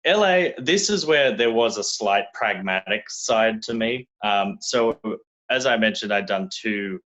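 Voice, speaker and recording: A young Australian man with an Australian accent, telling his story in a relaxed, casual interview, often restarting his sentences. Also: fairly low pitch, a little slow, lo-fi sound. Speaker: guest.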